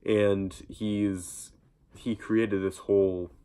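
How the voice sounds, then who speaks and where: A man's voice: on the low side, little pitch movement, very slow, mellow, clear, resonant tone. A young man with a stuffy nose from a cold, chatting casually in a vlog and rambling with lots of ums and restarts. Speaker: YouTube vlogger. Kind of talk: casual reaction vlog talk.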